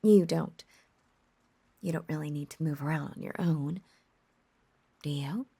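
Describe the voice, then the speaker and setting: Woman playing a breathy, creaky, lovesick witch. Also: low-pitched, dark tone, no echo, full character voice. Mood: lovestruck, giddy. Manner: breathy half-whispered cooing, lingering pauses. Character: lovesick obsessive young witch, possessive, teasing and doting. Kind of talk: intimate roleplay audio drama.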